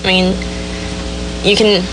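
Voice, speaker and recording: A woman's voice: mid-range voice, little pitch movement, slow pace, noisy background. A young adult woman giving casual answers as a guest in a radio interview. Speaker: rodeo queen titleholder being interviewed. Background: music.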